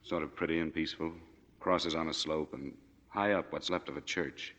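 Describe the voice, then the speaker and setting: An American actor playing a laconic, world-weary former army major in a 1940s radio drama. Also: male voice, a bass voice, steady tone, normal speed, fairly bright tone. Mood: somber, gentle. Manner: laconic, understated. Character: world-weary, plain-spoken male war veteran, former army major. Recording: dull, noisy 1940s radio recording.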